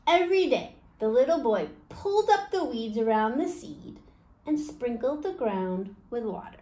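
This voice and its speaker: A woman's voice: a little high, animated, normal speed, dark tone, low-quality recording. A woman in her forties reading a picture book aloud to children as a storytime host, in simple phrases with questions to the listeners. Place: small indoor room.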